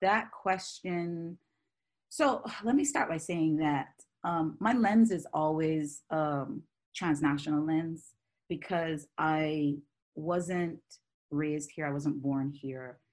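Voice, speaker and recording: Low-voiced outspoken woman. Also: slow. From Barbados. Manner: animated and forthright, pushing through long thoughts. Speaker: scholar and guest. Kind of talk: conversational interview.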